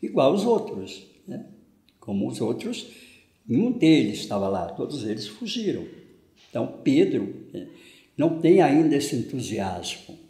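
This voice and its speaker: Older man, brazilian portuguese accent, parish priest's homily, gravelly, mellow tone, echoey room. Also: medium pitch, big pitch swings, a little slow. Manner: conversational, frequent "né" tag questions, gestures with hands. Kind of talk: homily on the gospel. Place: indoors at home, small room. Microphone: fixed camera at medium distance.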